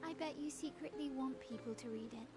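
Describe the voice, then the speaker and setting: A woman voicing a pensive, guarded, gently teasing young female adventurer in an understated video game cutscene performance. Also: high voice, brisk, music in the background, close to an everyday voice. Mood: playful, guarded.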